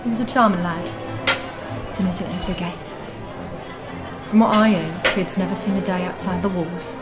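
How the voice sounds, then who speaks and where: Actress as shrewd barkeep woman, lowish voice, folksy gossip, music and busy tavern crowd behind her. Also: fairly even intonation, normal speed, natural voice. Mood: grave, confiding. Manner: colloquial, knowing, conspiratorial. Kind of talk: fantasy audio drama acting.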